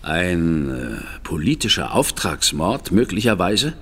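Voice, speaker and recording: A man's voice: low voice, big pitch swings, slow pace, fairly bright tone, husky, exaggerated voice. An actor playing a shrewd, composed male detective in a German audio drama, formal, courteous and inquisitive. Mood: probing.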